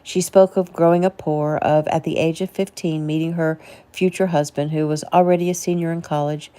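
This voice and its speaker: A woman's voice: low-pitched, warm tone. A woman reading her own novel aloud as a casual audiobook narration.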